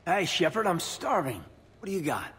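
A man playing a warm, flirtatious soldier in his thirties in a video game, with a soft, breathy North American voice. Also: sits high, very animated, moderate pace, heavily acted. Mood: playful. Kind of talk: video game romance acting. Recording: faint game music behind.

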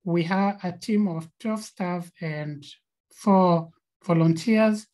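A woman's voice: on the low side, slow, warm tone. A woman with a Kenyan accent, co-founder of a nonprofit, presenting slides on a video call with frequent fillers and repeated words.

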